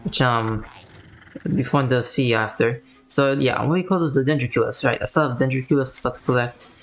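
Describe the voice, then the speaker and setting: A man's voice: mid-range voice, fairly even intonation, brisk. A man giving casual, slangy gameplay commentary as a streamer. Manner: slangy, informal. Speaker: game streamer.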